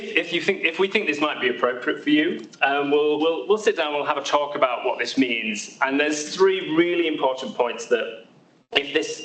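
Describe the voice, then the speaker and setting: A man's voice: medium pitch, fairly bright tone. A young British haematology doctor, a man, giving a friendly seminar talk to patients, with many fillers and restarts. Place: large echoey hospital hall. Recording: roomy hall sound from far off.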